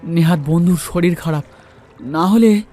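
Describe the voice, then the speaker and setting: A man's voice: a little high, a little slow, warm tone, faint music behind. A man telling a horror story as a storyteller, in dramatic first-person narration.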